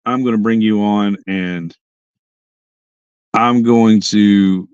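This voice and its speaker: A hoarse young man hosting a casual livestream chat from his parked car, rambling and often restarting his sentences. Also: on the low side, fairly even intonation, slow pace, darkish tone, no room sound, highs cut off. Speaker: livestream host.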